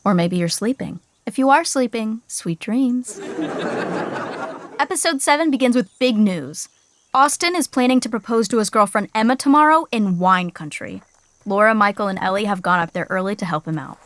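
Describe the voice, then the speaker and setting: A woman narrating a comedy podcast in a dry, deadpan way. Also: medium pitch, moderate pace, no echo.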